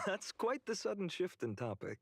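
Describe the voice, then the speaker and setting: An actor playing an earnest, resolute young swordsman hero in a video game, speaking in a measured, friendly way. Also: high voice, big pitch swings, creaky, a bit bright, slightly rough, dry recording, clean studio sound, heavily acted. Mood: amused. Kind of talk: English video game voice acting. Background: background game music.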